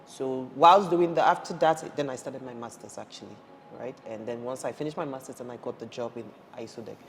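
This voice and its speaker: A woman's voice: a bass voice, a little fast. A woman in her thirties from Ghana, raised in Nigeria, telling her career story as an interview guest, with lots of restarts and fillers. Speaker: guest, energy industry professional. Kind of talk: conversational interview. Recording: reverberant room with faint noise.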